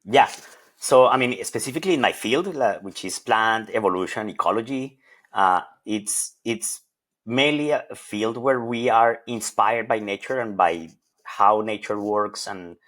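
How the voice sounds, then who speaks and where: A man's voice: fairly low pitch, a little slow, fairly bright tone. A middle-aged man from Colombia, a professor, answering interview questions thoughtfully with frequent hesitations and repeated words. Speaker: associate professor of plant evolution.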